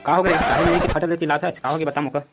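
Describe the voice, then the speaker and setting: A woman voicing a short-tempered, grumpy father in a cartoon, with a Chhattisgarhi accent and a quick, scolding way of talking. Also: sits low, fairly even intonation. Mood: annoyed. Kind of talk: cartoon voice acting. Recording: loud music behind.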